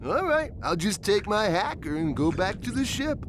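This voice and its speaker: An actor playing a goofy, cowardly young surfer-dude daredevil with slangy, laid-back talk in a cartoon video game. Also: male voice, high voice, sing-song, normal speed. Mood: cocky. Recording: noisy ambience behind.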